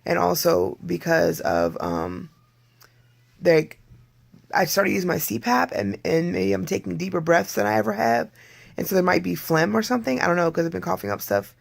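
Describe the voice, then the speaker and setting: An adult vlogger with a hoarse voice, talking in a rambling, candid video diary and clearing their throat often. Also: medium pitch, sing-song, normal speed.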